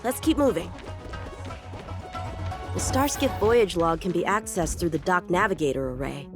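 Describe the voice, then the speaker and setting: A woman's voice: lowish voice, expressive, normal speed, lightly acted. An actress voicing an earnest, cocky young boy swordsman in a video game, speaking formally and confidently. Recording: music behind the voice.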